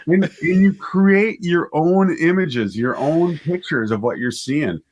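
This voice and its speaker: A man's voice: on the high side, slow pace, a little mellow, dull audio. A middle-aged man speaking as a guest in a casual interview, enthusiastic and rambling, often repeating words as he thinks aloud. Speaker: interview guest, audio drama writer and director.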